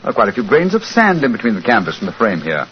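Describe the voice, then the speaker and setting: Astute British gentleman detective, lively swooping pitch, courteous, brisk, old radio drama, muffled noisy recording. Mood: brisk, deductive. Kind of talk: 1940s radio acting.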